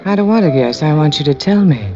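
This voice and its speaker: Seductive femme fatale, coy and scheming, lively swooping delivery, vintage radio drama, muffled sound. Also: female voice, sits low, fast talker, soft background music. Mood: teasing, insistent. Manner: coy, insinuating. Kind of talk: 1940s-50s radio acting. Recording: muffled old radio recording.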